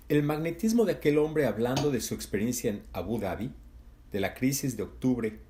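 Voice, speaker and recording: A man narrating a literary novel as an audiobook in a measured, even delivery. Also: medium pitch.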